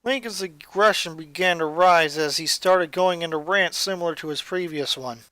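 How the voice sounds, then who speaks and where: A woman's voice: on the low side, normal speed, fairly bright tone, dry recording, rough recording. A woman reading scripted fan fiction as a story narrator.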